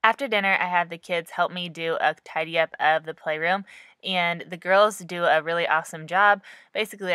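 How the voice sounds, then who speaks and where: Bright-toned woman narrating a cleaning vlog. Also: fairly low pitch, no room sound. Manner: friendly, explanatory. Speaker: home vlogger. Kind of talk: voice-over narration.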